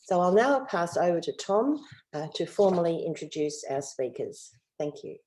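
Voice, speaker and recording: A woman opens an online round table as host, reading her lines with a few hesitations. Her voice sits low and sounds soft and mellow. It comes through a video call. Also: very animated, moderate pace, poor audio. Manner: reads from a script, occasional fillers. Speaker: event host introducing moderator.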